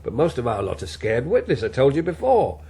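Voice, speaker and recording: An actor playing a blunt, earthy, world-weary politician in a naturalistic political drama. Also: male voice, medium pitch, huge pitch swings, fairly quick, warm tone, slightly rough, exaggerated voice. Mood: weary, frank.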